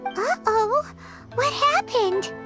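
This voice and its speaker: A woman voicing a small, childlike, excitable fairy companion in a video game. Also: very high voice, drawn-out words, over music, full character voice. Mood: startled. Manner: speaks of herself in third person, exclaims. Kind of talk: video game character dialogue.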